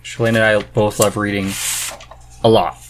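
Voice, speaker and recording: A man's voice: on the low side, little pitch movement, moderate pace, a little hiss or hum. A man hosting a podcast in a casual, chatty style. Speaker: podcast host. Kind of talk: casual chat.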